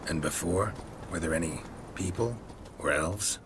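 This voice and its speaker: An actor playing a gruff middle-aged monster hunter who talks in dry, clipped lines in a video game. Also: male voice, sits low, a little slow, background noise.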